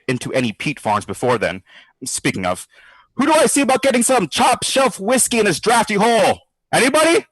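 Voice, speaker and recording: An actor playing a brash, sardonic skeptic who talks in slangy, theatrical quips in an audio drama diary. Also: male voice, on the high side, no room sound, rough recording. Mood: cocky, prodding. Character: brash wisecracking skeptical man, boozy ghost-study participant.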